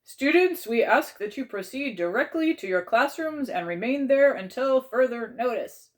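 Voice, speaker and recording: A formal, insistent school announcer from a storybook, voiced by a woman reader. The delivery is raised in pitch and projected like an official notice. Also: lively intonation, normal speed. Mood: official, urgent.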